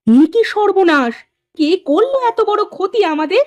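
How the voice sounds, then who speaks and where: A woman playing a shrewd, resolute potter's wife in an animated moral story, expressive and assertive. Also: on the high side, moderate pace, dry recording. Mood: alarmed.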